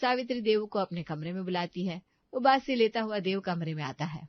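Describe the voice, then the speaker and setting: A woman's voice: mid-range voice, expressive, brisk, no room sound, highs cut off. A woman reading scripted narration for a comic family story.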